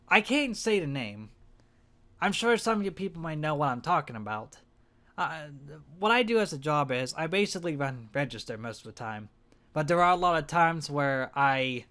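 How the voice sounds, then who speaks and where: Hoarse, stuffed-up young man vlogging. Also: on the high side, sharp tone. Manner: rambling, hesitant, self-conscious. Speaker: gaming channel vlogger. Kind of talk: casual monthly vlog.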